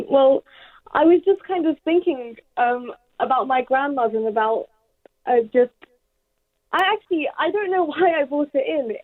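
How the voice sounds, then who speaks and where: A woman's voice: high-pitched, lively intonation, moderate pace, no echo. A seventeen-year-old young woman with a British accent, answering interview questions conversationally. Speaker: interview guest, young poet. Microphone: remote call to radio studio. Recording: dull phone-like line.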